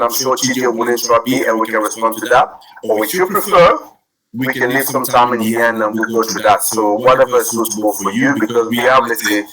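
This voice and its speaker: A man who grew up in Cameroon presents in a webinar. He speaks over a video call, restarts often and fills pauses. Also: medium pitch, low-quality recording. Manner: many restarts and fillers, rising energy.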